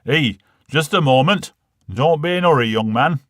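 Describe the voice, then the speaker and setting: A man's voice: fairly high pitch, lively intonation, moderate pace, a bit bright, no echo, clean studio sound, voice pushed up. A man voicing a blunt, droll working-class father with a Northern English accent in a comic verse story, speaking emphatically. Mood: firm, gruff.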